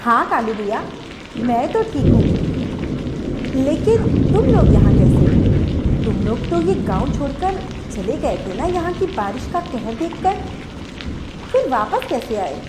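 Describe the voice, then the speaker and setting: A woman's voice: high voice, big pitch swings, unhurried, echoey room, heavy background noise. A woman voicing a caring, sensible mother sparrow who speaks reassuringly. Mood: surprised. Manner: warm, reassuring.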